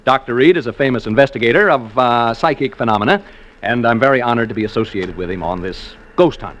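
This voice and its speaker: A man's voice: mid-range voice, fast talker, a bit bright, lightly acted. A man acting a jokey, folksy American radio host turned ghost hunter, in 1940s radio drama style with theatrical emphasis. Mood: chatty, uneasy. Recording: noisy old radio show recording.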